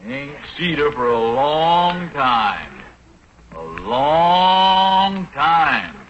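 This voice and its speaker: Western gal-chasing rival: folksy, cocky, raised pitch, on a muffled old radio recording. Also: male voice, big pitch swings, drawn-out words, heavily acted. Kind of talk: 1940s radio comedy acting. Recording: dull, noisy 1940s radio recording.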